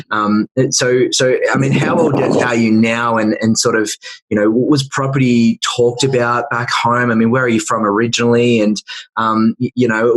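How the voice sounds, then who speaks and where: A man's voice: fairly low pitch, a bit bright, low-quality recording. A man hosting a podcast, asking long, loose questions full of fillers and repeated starts. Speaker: podcast host. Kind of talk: casual interview questions.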